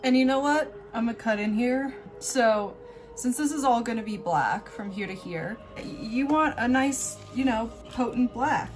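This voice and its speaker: A woman's voice: fairly high pitch, normal speed, soft background music. A woman hosting a makeup tutorial in a casual, chatty style, with an offhand, self-deprecating and informal way of talking.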